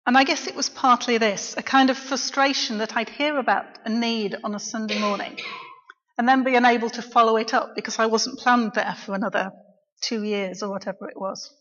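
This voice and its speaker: A middle-aged woman, a local preacher, giving a quiet, reflective personal testimony in church. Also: on the high side, fairly even intonation, fairly quick. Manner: soft-spoken, reflective. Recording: dull, echoey church recording.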